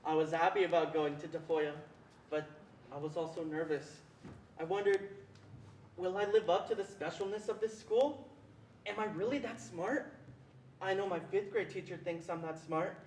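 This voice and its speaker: A man's voice: sits high, moderate pace, darkish tone, lightly acted. A young man playing an anxious, self-doubting boy looking back on school in a reader's theatre performance. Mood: anxious, confessional. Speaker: student performer. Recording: echoey, distant mic, faint noise.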